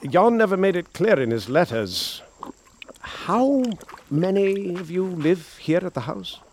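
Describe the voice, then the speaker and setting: A man's voice: sits high, animated, measured pace, a little mellow, a little hiss or hum. An actor playing a polite young war veteran who narrates his journal and stammers hesitantly, in a radio drama. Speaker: narrator and lead character.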